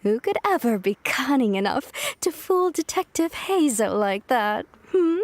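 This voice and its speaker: An actress playing a sly, flirty swindler in a video game, theatrically self-pitying and coy. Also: female voice, high-pitched, very animated, a little slow. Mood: coy, apologetic.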